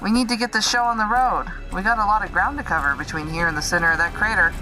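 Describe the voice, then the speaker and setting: A woman's voice: mid-range voice, fast talker, bright, forceful tone, faint music behind, lightly acted, rough recording. A woman playing a brash, eager soldier gunner, sassy and confident, in a sci-fi drama. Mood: impatient, teasing. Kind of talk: sci-fi action drama acting.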